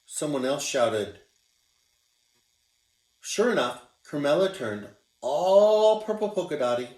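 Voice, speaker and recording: A man reading a picture book aloud for children. He reads slowly with a wide, lively swing in pitch. The voice echoes in a roomy space, captured from a distance. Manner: expressive, showing pictures as he reads. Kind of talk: children's read-aloud narration.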